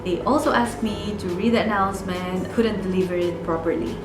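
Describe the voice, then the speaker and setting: A woman's voice: medium pitch, brisk. Filipino woman telling her story. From Philippines. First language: Bicolano. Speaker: vlogger. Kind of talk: personal storytelling. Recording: echoey room with music.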